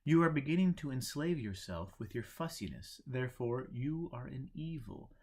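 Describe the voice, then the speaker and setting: Storybook monk mentor, played by a male narrator: didactic, teasing, deliberate delivery, unshakeably sure of himself. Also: medium pitch, expressive, a little mellow, pitched above the performer's own voice. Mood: preachy. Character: imperturbable male monk teacher, preachy and teasing.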